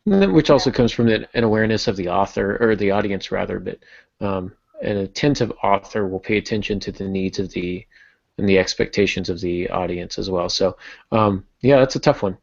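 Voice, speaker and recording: A man's voice: on the low side, a little fast, gravelly, no echo, lo-fi sound. A man hosting a podcast in casual, informal chat, often thinking aloud with a self-deprecating touch. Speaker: podcast host.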